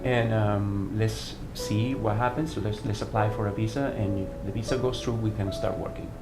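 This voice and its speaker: A lowish-voiced man from Venezuela, speaking English with a Venezuelan accent. He tells his story earnestly and haltingly, restarting his phrases often. The voice sits in a roomy space with music playing clearly underneath. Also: fairly even intonation. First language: Spanish. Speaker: artistic director of a dance company. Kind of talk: personal testimony interview.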